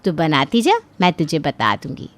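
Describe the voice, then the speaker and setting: A woman voicing a gentle middle-aged mother-in-law in a cartoon, measured and motherly as she instructs. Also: low-pitched, sing-song, no room sound. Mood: kindly instructing. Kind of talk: cartoon voice acting.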